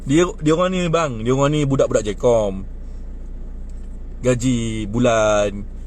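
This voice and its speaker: Young Malaysian man in his twenties, heated casual talk, mid-pitched voice, faint street traffic behind. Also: a little slow. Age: 20s. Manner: animated and indignant, rising in pitch when worked up. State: short on sleep. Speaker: young man airing political views. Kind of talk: casual street chat, speaking to others nearby. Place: outdoors near a bus stop.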